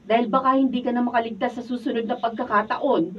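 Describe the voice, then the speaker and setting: Actress as anxious high-voiced Filipino wife, distant mic. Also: female voice, fairly even intonation, fast talker, natural voice. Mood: relieved, chiding. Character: anxious, scolding wife pleading for peace. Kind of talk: Filipino radio drama acting. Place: radio drama recording studio. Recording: dull, distant behind-the-scenes studio video.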